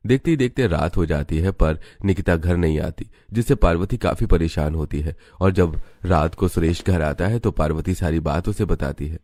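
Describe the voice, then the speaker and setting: A man's voice: deep voice, moderate pace, few pauses, dark tone, no room sound. A man reading scripted narration for a family story.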